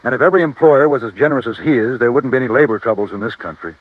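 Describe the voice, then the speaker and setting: An actor playing a genial, loyal bank cashier, folksy and emphatic, in 1950s radio style. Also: male voice, mid-range voice, racing, no room sound, phone-quality sound, lightly acted. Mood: earnest, defensive. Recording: dry, thin old radio recording.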